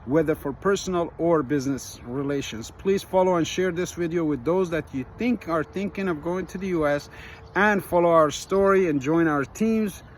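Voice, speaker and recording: Earnest middle-aged man, street noise behind. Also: a little high. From Iran. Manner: earnest, plain-spoken, slightly halting. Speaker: business coach. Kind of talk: direct-to-camera advice video. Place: outdoors in an urban area.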